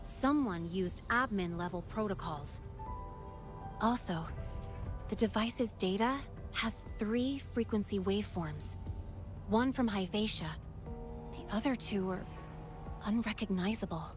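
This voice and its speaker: A woman's voice: mid-range voice, a little slow, pauses often, lightly acted. An actress playing a confident, playful young tech-expert woman in a video game, talking in a casual, explanatory way. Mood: thoughtful, casual. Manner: casual, self-assured, explanatory. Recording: music behind the voice.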